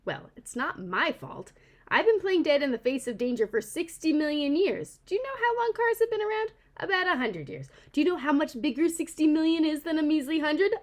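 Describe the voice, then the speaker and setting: Lady doing a sassy mama opossum from a kids book, super indignant n argumentative, loud high voice all over the place. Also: big pitch swings, brisk. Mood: defiant. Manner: emphatic, argumentative rhetorical questioning. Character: indignant sassy mother opossum, defending her kind.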